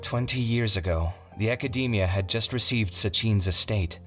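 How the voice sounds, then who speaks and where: Man as an earnest, sorrowful young man, lowish voice. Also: moderate pace, over music, lightly acted. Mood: wistful, regretful. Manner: reflective storytelling. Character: earnest, sorrowful young male scholar, soft-hearted and reflective. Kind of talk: video game voice acting.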